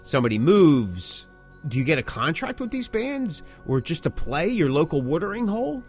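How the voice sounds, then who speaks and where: A man with a fairly high voice and very animated intonation hosts a casual talk podcast. He is opinionated and likes rhetorical questions and short repeated phrases. Music plays faintly behind him. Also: normal speed. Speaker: podcast host.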